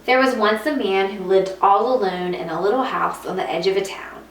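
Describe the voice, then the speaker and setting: A woman teacher reading a children's picture book aloud to students, with expressive storytelling and stressed repeated words. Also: medium pitch, normal speed. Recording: roomy echo, distant mic, faint noise.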